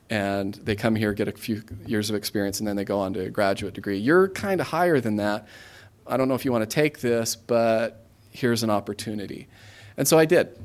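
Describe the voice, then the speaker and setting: Halting American man with a lowish voice. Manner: conversational, halting, self-interrupting. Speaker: guest speaker, government aid regional coordinator. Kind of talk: informal career talk to students.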